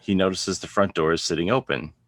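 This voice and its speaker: A man's voice: low voice, fairly even intonation, brisk, fairly bright tone. A man narrating a script, reading out scene headings and stage directions as a narrator. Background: guitar music.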